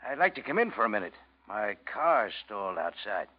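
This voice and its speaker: An actor playing a shady stranger in a 1940s radio noir, halting and casual with a faint menace. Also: male voice, on the low side, big pitch swings, a little hiss or hum. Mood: falsely casual. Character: scarred, shady stranger feigning a stalled car. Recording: muffled old radio broadcast.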